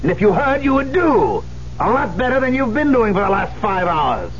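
A man's voice: high-pitched, big pitch swings, normal speed. A man acting in a 1970s radio drama, playing a bitter, proud, aging concert pianist who is blunt and sarcastic. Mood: stern, exasperated. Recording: dull 1970s radio broadcast, faint hum.

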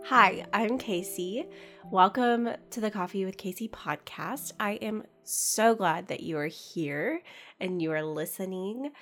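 A young woman hosting a casual solo podcast, chatty and rambling with lots of fillers and soft laughs, sounding congested from a cold. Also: mid-range voice, measured pace, soft background music. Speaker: podcast host.

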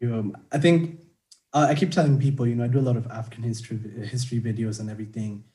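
Young man from Kenya, dark mellow tone, a bit husky, casual chatty talk with fillers. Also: mid-range voice, fast. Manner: relaxed, chatty, many fillers. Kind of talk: casual conversation.

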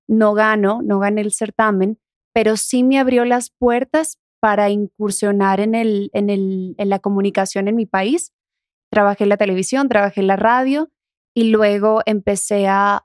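A young adult woman from the Dominican Republic with a softened Dominican accent, talking casually as an interview guest. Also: medium pitch, steady tone, moderate pace, no echo, studio quality. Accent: Dominican, softened toward neutral Spanish.

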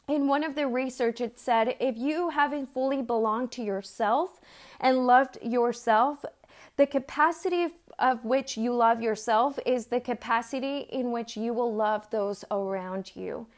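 A woman's voice: on the high side, unhurried. Earnest woman giving a personal sermon. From Mexico. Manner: earnest, conversational, with frequent fillers and restarts. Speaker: church speaker.

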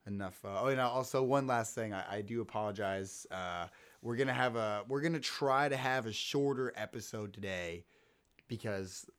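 A man's voice: fairly low pitch, moderate pace, fairly bright tone, clear, resonant tone. A man with a scratchy throat, hosting a casual sports podcast and rambling with many restarts. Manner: rambling, self-interrupting. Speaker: podcast host.

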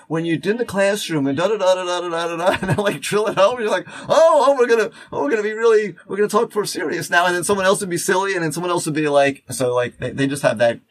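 A man's voice: fairly high pitch, slight background noise. A man co-hosting a podcast in loose, unscripted chat, rambling and often restarting his phrases as he goes. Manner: rambling, halting, repeats words and restarts. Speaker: podcast co-host.

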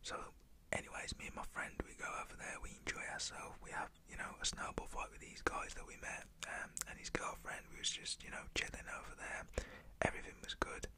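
A young British man telling a personal story in a casual, slangy way, whispered in an ASMR style. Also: fairly quick.

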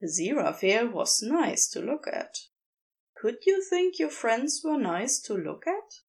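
A woman narrates a fiction story in a fairly high voice. Her reading is slow and measured, with expressive, swooping intonation that suits scripted storytelling. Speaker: narrator and reader.

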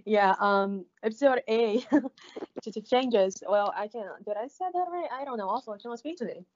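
Halting Brazilian Portuguese woman, casual. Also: a little high, expressive, a little mellow, slight background noise. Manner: halting, many fillers and restarts, laughs often. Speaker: podcast co-host. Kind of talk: casual recap chat. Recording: slightly dull lo-fi audio.

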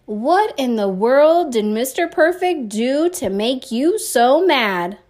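A woman voicing an affectionate, gently teasing mother in a storybook reading, with emphatic, drawn-out phrasing. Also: sits high, animated. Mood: amused, disbelieving.

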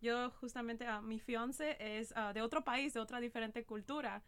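A woman's voice: on the high side, steady tone, moderate pace, a bit bright, clean tone. A young woman with an Ecuadorian Spanish accent, co-hosting a podcast and talking earnestly and reflectively about learning a language. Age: young adult. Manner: earnest, reflective, laughs easily. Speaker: podcast co-host, English teacher. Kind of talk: casual podcast chat.

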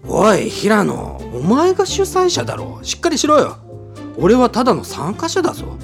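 Radio drama: blunt, commanding older man played by a male narrator, irritable, raised swooping pitch. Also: wildly swooping pitch, moderate pace, gravelly, soft background music, heavily acted. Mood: irritated, scolding. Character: bossy, irritated middle-aged-to-elderly man.

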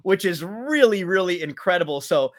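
Higher-pitched male sports interview host. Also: expressive, normal speed. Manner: chummy, addresses guest as buddy. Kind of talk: conversational sports interview hosting.

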